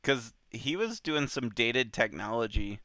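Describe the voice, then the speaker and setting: A man hosting a casual book discussion, thinking aloud informally in halting bursts. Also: mid-range voice, expressive, bright, forceful tone, dry recording. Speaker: book club podcast host.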